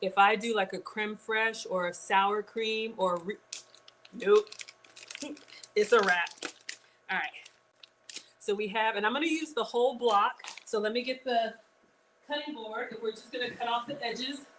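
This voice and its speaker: A woman's voice: on the high side, a little slow, pauses often, fairly bright tone, a little hiss or hum, low-quality recording. A woman hosting a live-streamed cooking class in a home kitchen, chatting straight to her viewers with casual asides. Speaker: cooking show host.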